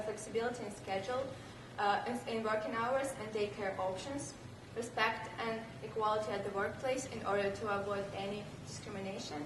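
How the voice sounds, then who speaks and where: A young woman from Russia with a Russian accent, giving a rehearsed student presentation. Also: medium pitch. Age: young adult. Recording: echoey noisy room, distant microphone.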